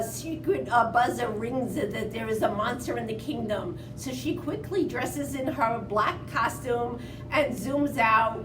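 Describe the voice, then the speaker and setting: A woman, a children's librarian, giving an informal book recommendation in a halting way, pausing mid-word. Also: on the high side, background noise. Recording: roomy, distant mic, music behind.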